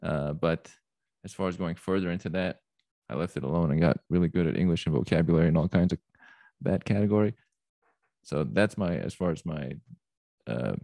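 A man in his thirties hosts a casual interview, his voice very low, dark and gravelly. He speaks close to a stand mic in a small plain room, and the sound is dry. His talk is relaxed and halting, full of fillers. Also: no room sound. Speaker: podcast host. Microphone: studio mic on a stand in front of him.

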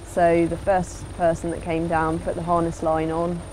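A woman's voice: lowish voice, completely flat delivery, normal speed, noisy background. A woman giving an instructional voice-over as a sailing instructor, in a plain, explanatory way.

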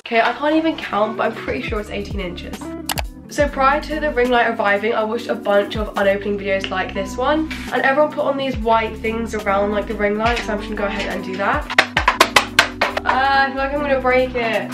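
A teenage girl talks through an unboxing in her bedroom, her voice croaky from a cold. She sounds chatty and excitable, with a slightly high pitch. Clear background music runs under her talk. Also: fairly quick. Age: teens. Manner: chatty and excitable, lots of "like" and "literally". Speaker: YouTuber. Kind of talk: unboxing vlog. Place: bedroom, indoors.

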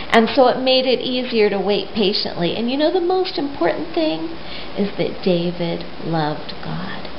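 Animated woman telling children's stories, roomy sound. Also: mid-range voice. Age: middle-aged. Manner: addresses small children with simple questions and pauses. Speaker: children's storyteller. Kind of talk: storytime talk and read-aloud for young children. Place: living room at home, indoors. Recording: roomy, dull sound with faint noise.

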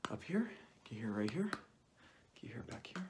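A young man speaking softly through a cloth mask in an ASMR roleplay as an examiner, often dropping into whispers and repeating short phrases close to the mic. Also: mid-range voice, very animated, fast talker. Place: small plain indoor room. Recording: clearly noisy home recording with clicks.

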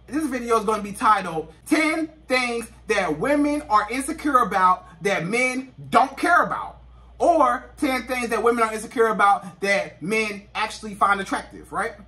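A man's voice: high voice, lively intonation, moderate pace. A man, giving emphatic, repetitive relationship advice straight to the camera. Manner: emphatic, repetitive, gestures constantly, often rises to near shouts. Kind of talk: animated direct-to-camera advice talk. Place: small indoor living room. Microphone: camera a few feet away. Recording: slightly distant camera mic.

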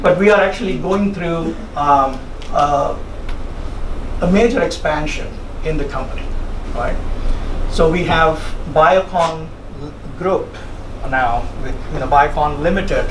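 A middle-aged man with an Indian accent gives an encouraging, conversational keynote to students, often checking in with "right?" or "okay?". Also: fairly high pitch. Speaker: senior research executive giving a keynote. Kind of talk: orientation keynote speech to students. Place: conference room, indoors. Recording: roomy, distant mic, noisy room ambience.

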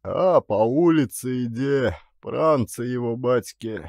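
Storybook coachman, played by a male reader: Ukrainian accent, unhurried, laconic, unflappable, dry close sound. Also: medium pitch, creaky, a little mellow, no room sound. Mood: lazy, calm. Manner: lazy, slow, laconic. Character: sluggish, unflappable Ukrainian coachman, adult man.

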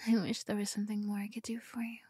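Young woman, breathy close whisper, slow, giggly ASMR roleplay, flat delivery. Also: medium pitch, no room sound. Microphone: close binaural mic.

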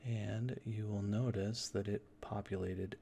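Male tutorial instructor, slightly deep with noticeable fry, casual and unscripted, halting delivery, light background noise with keyboard clicks. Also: fairly even intonation, dark tone. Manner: casual, halting step-by-step explaining. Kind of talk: informal unscripted screencast tutorial, thinking aloud. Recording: noisy room with keyboard clicks. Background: typing and mouse clicks.

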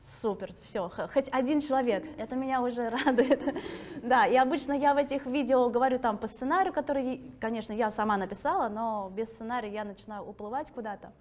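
A woman's voice: fairly high pitch, brisk, darkish tone. A woman in her thirties from Russia, talking casually and self-ironically to an audience in a library hall, laughing often. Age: 30s. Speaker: guest speaker.

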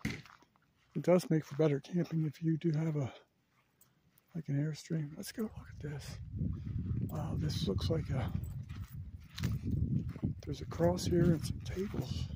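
A man's voice: a little high, steady tone, slow, pauses often, noisy background. A man narrating a casual walking tour of a campground, thinking aloud with lots of fillers, recorded outdoors on a handheld camera. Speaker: vlogger giving a walking tour. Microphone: handheld camera while walking.

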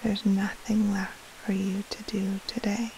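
A woman leading a guided sleep meditation as a yoga nidra teacher, in a hushed, breathy, soothing delivery with long pauses between short phrases. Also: medium pitch, level tone, low-quality recording. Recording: faint hiss and rustle. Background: soft rain-like noise.

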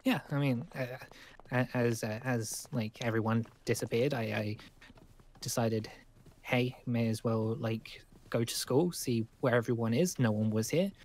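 A man's voice: medium pitch, a little slow, a little mellow. A man playing a nervous, apologetic young survivor in an improvised game roleplay, stammering and over-explaining as he goes. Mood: rambling, eager. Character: nervous, rambling, apologetic young male survivor living at school.

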